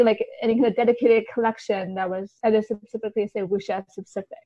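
A woman's voice: fairly high pitch, no room sound, lo-fi sound. A woman answering casually as a podcast guest, in a tentative, hedging way. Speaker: guest, translator and poet.

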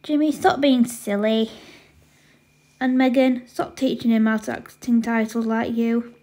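Woman as a bossy, exasperated big sister, mellow-voiced. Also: a little high, fairly even intonation, a little slow, close to an everyday voice. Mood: exasperated scolding. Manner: scolding, stern, exasperated.

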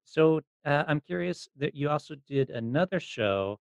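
A man's voice: fairly high pitch, animated, normal speed, vocal fry, smooth, dry recording. A man hosting a podcast, interviewing in a casual, conversational way. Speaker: podcast host.

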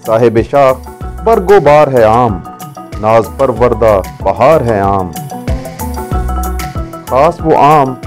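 A man's voice: mid-range voice, expressive, drawn-out words, pauses often. A man reading scripted narration. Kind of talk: scripted narration with recited Urdu poetry. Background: music.

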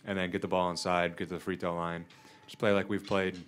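A young man, a college basketball player, giving brief, low-key answers with some hesitation at a postgame press conference into a table mic. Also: flat delivery, fairly quick, a bit bright. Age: young adult. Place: press conference room with sponsor backdrop. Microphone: table mic in front.

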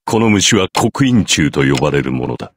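An actor playing a coolly composed priest in an anime visual novel, explaining grim matters in a measured, formal, detached manner. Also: male voice, a bass voice, sing-song, breathy edge, dry recording, exaggerated voice. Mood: detached, grave. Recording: loud music under the voice.

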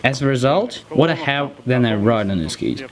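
A man in his thirties with a Russian accent, giving blunt opinions in halting English while talking to an action camera on a ski slope. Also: mid-range voice, expressive, crowd noise. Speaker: ski tester. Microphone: helmet or selfie action camera close-up.

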